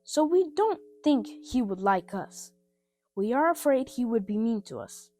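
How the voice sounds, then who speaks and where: An earnest, responsible orphan boy from a children's storybook, voiced by a woman. The voice is fairly high and very varied in pitch, with polite, plain-spoken delivery and soft music behind. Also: animated, unhurried. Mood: earnest, wary.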